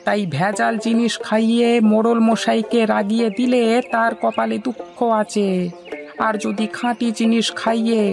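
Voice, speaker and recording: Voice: mid-range voice, gravelly, natural voice. An actor playing a village crier, the headman's servant in a cartoon, making declamatory public announcements. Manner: declamatory, proclaiming. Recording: music bed behind the voice.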